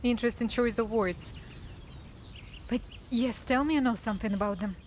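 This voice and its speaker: A woman acting as a defiant drow assassin in a cinematic fantasy. She sounds guarded and cool, speaking tersely in a slightly high voice over faint background noise. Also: moderate pace, natural voice.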